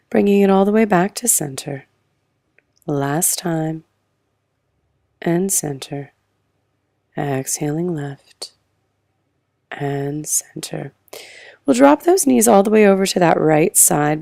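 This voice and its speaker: A woman with an Australian accent and a hoarse, croaky voice, guiding a yoga class with calm, breath-paced cues that trail into soft whispers. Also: low voice, no room sound, studio quality. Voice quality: gravelly. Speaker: yoga instructor. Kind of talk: guided yoga class instruction.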